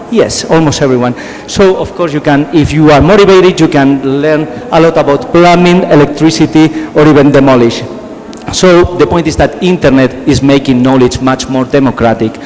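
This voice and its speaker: A man's voice: a little high, darkish tone, a little hiss or hum. Spanish-accented man lecturing in a hall. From Spain. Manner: halting, repeats words, asks the audience questions. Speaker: conference speaker, physician. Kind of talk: conference presentation. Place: large conference hall. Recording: hall reverb, faint background ambience.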